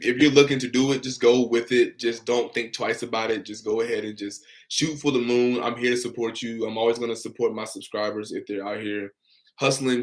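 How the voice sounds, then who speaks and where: A young man hosts a relaxed livestream from home. He reads out viewer comments and answers each one in an upbeat, encouraging way. His voice sits slightly low. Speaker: insurance broker and livestream host.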